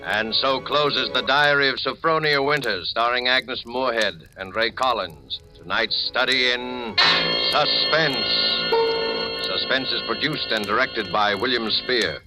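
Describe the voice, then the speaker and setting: A man's voice: medium pitch, a little slow, over loud music, low-quality recording. A man announcing a 1940s radio suspense series in a formal, dramatic scripted style on an old recording.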